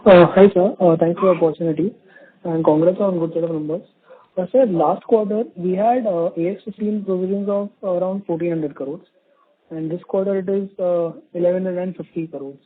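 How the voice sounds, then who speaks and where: A man's voice: high-pitched, fairly even intonation, a little fast, slightly rough. A man with an Indian accent calling in as an analyst, politely asking questions over the phone. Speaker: analyst caller. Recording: narrow tinny phone line.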